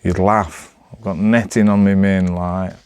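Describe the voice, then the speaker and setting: A man's voice: low-pitched, little pitch movement, measured pace, a little mellow, clean tone, dry recording. A man with a Northern English accent chatting casually to camera, rambling in a hesitant, self-deprecating way. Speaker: amateur vlogger.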